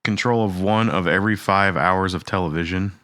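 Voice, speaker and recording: A man's voice: low-pitched, a little fast, a bit bright, no echo. A man co-hosting a casual podcast, making jokey asides. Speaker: podcast co-host. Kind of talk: casual chat.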